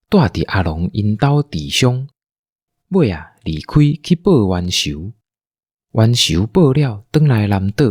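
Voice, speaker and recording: Taiwanese guy narrating a radio story, slow, raspy, dry close sound. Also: fairly low pitch, a little mellow, dry recording. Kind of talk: scripted storytelling narration for audio drama.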